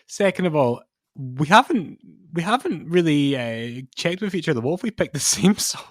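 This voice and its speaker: A man's voice: a little high, very animated, moderate pace, dry recording, studio quality. A man, chatting casually as a podcast co-host.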